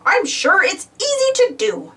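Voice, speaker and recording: Storybook part: lazy, boastful male duck farmer read by a woman, grumbling, high voice, swingy intonation. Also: wildly swooping pitch, measured pace, fairly bright tone, distant mic, full character voice.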